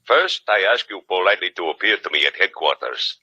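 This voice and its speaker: Husky, deep inspector. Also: male voice, expressive, fairly quick, bright, forceful tone, full character voice. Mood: politely menacing. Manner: florid, over-formal. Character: mock-polite, threatening male Cuban police inspector. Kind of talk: 1950s radio drama acting. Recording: dull, lo-fi old radio sound.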